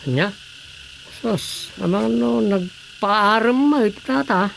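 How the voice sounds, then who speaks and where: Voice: medium pitch, takes it slowly, vocal fry, a bit bright, exaggerated voice. An actor with an Ilocano accent playing a plain-spoken village councillor in a radio drama comedy. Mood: matter-of-fact. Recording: noisy radio drama track with ambience.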